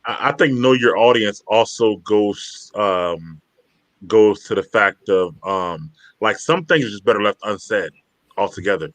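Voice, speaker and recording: Low-voiced man, hesitant and polite. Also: animated, unhurried, fairly bright tone, no room sound. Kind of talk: casual chat.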